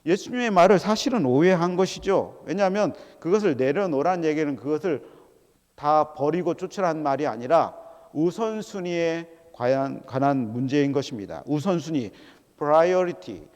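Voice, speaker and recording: A middle-aged man preaching a sermon as a pastor, animated and acting out quoted voices, calling out to the congregation. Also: a little high, moderate pace. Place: large church sanctuary. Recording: long hall reverb of a church.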